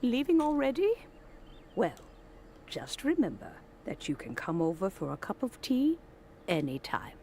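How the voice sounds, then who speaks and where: A woman's voice: medium pitch, wildly swooping pitch, measured pace, vocal fry, darkish tone, slight background noise, full character voice. A woman playing a kindly, hospitable elderly granny in a video game.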